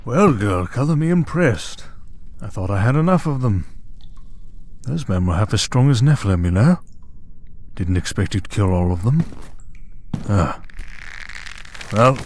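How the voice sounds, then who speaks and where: Man acting a low, smug villainous tempter. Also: lively intonation, darkish tone, a little hiss or hum. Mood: amused menace. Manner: taunting, persuasive, theatrical, deliberate. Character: smug, tempting male monster servant of a dark master. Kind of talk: ASMR audio roleplay acting.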